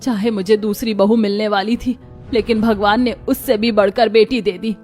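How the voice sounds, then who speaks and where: A woman's voice: medium pitch, steady tone, moderate pace, a little mellow, close to an everyday voice. A woman voicing a caring, indignant middle-aged mother-in-law in a melodramatic cartoon family drama, earnest and emphatic. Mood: sorrowful, tender. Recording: music under the voice. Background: background music.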